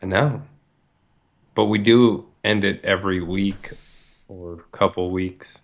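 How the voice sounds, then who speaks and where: A man's voice: deep voice, fairly even intonation, takes it slowly. A man co-hosting a casual podcast, tossing in flippant, joking remarks. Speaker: podcast co-host.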